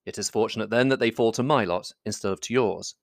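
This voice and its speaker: A reader acts out a reserved, proud gentleman from a classic novel. The delivery is formal, measured and coolly precise, at a middling pitch in a dry recording. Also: gravelly, no room sound, close to an everyday voice. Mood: cool reserve. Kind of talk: classic novel audiobook dialogue.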